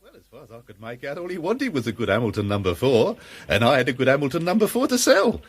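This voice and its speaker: An actor playing a cheerfully unscrupulous shopkeeper with a British accent, breezy, colloquial and unapologetic in a radio drama. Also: male voice, mid-range voice, sing-song, fast talker, breathy edge. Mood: brazen, breezy. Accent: British English.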